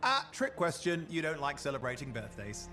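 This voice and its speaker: An actor playing a playful, cheeky young freelance partner in a video game, with a jokey, teasing manner. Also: male voice, fairly high pitch, wildly swooping pitch, moderate pace, over music.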